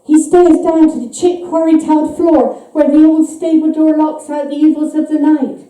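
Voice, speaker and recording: A woman giving a live, measured and suspenseful dramatic reading of a short story. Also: high voice, fairly even intonation, dark tone. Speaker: author reading her own short story. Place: live reading event, voice picked up from afar. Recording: echoey hall, distant microphone.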